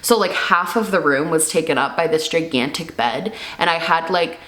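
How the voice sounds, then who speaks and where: A young woman reacting to her old videos at home, chatty with many "like" fillers, her pitch swinging as her feelings change. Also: moderate pace, fairly bright tone, roomy sound, low-quality recording. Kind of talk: casual reaction chat.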